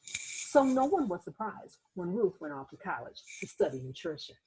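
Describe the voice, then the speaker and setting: A woman reading a children's picture book aloud as host, in an expressive storytelling manner with little asides to young listeners. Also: on the low side, moderate pace, husky, low-quality recording.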